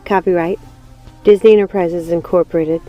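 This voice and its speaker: A woman's voice: on the low side, steady tone, moderate pace, dark tone, dull audio. Woman announcer over music. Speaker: channel host. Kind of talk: scripted intro announcement. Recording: music bed under the voice.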